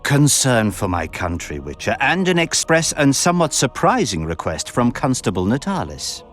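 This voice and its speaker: A man's voice: medium pitch, sing-song, normal speed, close to an everyday voice. Man playing a flamboyant, swooping nobleman. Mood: wry, candid. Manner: theatrical, florid, pompous phrasing. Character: flamboyant, verbose, refined nobleman count, lecherous and sardonic. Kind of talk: video game cutscene voice acting. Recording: music behind the voice.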